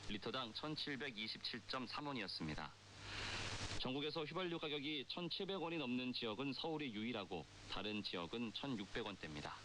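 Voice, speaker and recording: A man reads scripted radio news in a formal, measured way, as an announcer. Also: medium pitch, animated. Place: radio broadcast received over shortwave. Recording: noisy, hissy shortwave radio broadcast. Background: clear static hiss.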